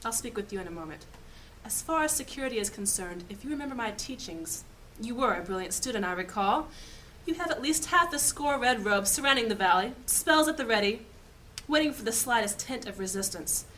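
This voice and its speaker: Woman playing a bossy high-ranking mage lady, bright sharp voice, audio drama. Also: lively intonation, fairly quick, slight background noise. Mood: commanding. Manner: authoritative, clipped commands. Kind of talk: fantasy audio drama acting.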